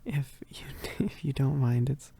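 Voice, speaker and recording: A performer voicing a shy, needy, affectionate young werewolf roommate in a roleplay ASMR, with soft, breathy, halting, giggly speech that often drops to whispers. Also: medium pitch. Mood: embarrassed, tender. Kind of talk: roleplay ASMR, intimate one-sided dialogue.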